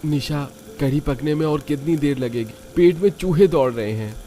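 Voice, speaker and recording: An actor playing a hungry, bewildered young husband in a cartoon story. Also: male voice, a little high, normal speed, dark tone, a little hiss or hum, close to an everyday voice. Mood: puzzled.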